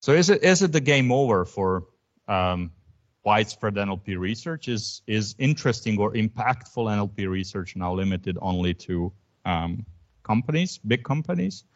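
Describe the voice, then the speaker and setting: A man's voice: lowish voice, animated, normal speed. A man whose first language is Croatian, giving an academic lecture in a thoughtful way, with frequent ums and restarts. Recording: dull lo-fi recording.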